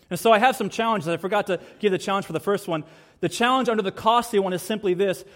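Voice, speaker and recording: Man, pastor, sermon, higher-pitched voice, earnest, repeats lines for emphasis. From United States.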